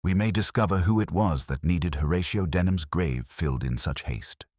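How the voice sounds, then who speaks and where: A man voicing a coolly analytical, commanding Victorian detective in an audiobook, speaking with precise, deliberate phrasing. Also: deep voice, a little mellow, no room sound, clean studio sound. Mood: grave, analytical.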